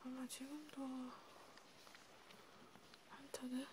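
A woman whispering softly in an ASMR role play as a vampire healer tending a wounded vampire. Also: sits high, flat delivery, drawn-out words. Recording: clearly noisy home recording.

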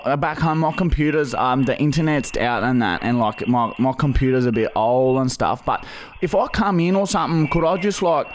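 A man's voice: medium pitch, moderate pace, slight background noise, lightly acted. A young Australian man playing a pushy, dim, matey bogan begging for work on a prank call, rambling and swearing heavily in slang. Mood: eager, pleading. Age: young adult. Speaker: prank caller and podcast host. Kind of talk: improvised prank phone call. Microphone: boom-arm studio microphone, phone held to face.

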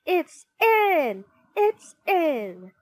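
A woman playing an excitable, thrilled football match commentator in a children's storybook. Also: extremely high pitch, drawn-out words, no echo, pitched above the performer's own voice, full character voice. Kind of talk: sports commentary.

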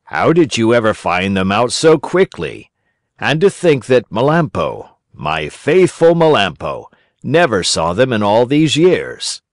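A man's voice: mid-range voice, sing-song, a little slow, no echo, studio quality. A man voicing a grown farmer who talks in a hearty, self-satisfied, kindly way in a storybook reading. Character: grown male farmer, gruff but pleased and kindly.

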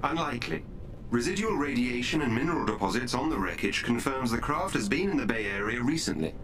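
A man's voice: mid-range voice, lively intonation, fairly quick, lightly acted, poor audio. A man voicing a courteous, formal artificial intelligence assistant in a video game, precise and polite. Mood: clinical report. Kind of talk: cinematic video game acting. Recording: music under the voice.

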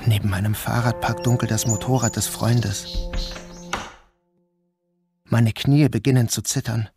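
An actor playing a troubled, dreamy grown son who narrates his surreal day in a measured, introspective way. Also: mid-range voice, fairly even intonation, close to an everyday voice. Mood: brooding, introspective. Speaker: narrator and protagonist. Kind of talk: first-person literary radio play narration. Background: music.

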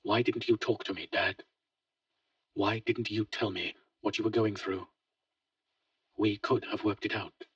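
Brief: male reader as a shaken grown son, husky, quiet, heard through a distant lo-fi mic. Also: fairly low pitch, fairly even intonation, measured pace, long pauses, dry recording, voice pushed up. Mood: pleading.

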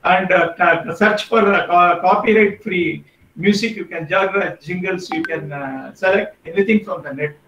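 High-voiced Indian man, echoey call. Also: moderate pace, fairly bright tone, slight background noise. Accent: Indian English. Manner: hesitant, many fillers and restarts. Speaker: guest speaker on podcasting. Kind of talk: online workshop talk. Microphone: video call. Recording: echoey, far from the mic.

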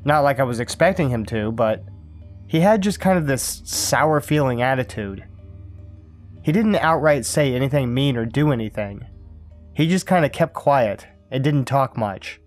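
A man reading a first-person scary camping story aloud in a calm, even storytelling manner. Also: medium pitch, normal speed, soft background music. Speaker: narrator. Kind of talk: scripted horror story narration.

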